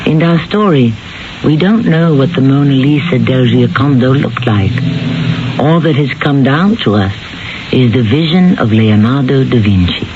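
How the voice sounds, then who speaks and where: A man's voice: medium pitch, lively intonation, moderate pace, noisy background. A man hosting and narrating a 1970s radio mystery drama, addressing listeners like an urbane storyteller. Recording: dull 1970s radio broadcast. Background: clear music.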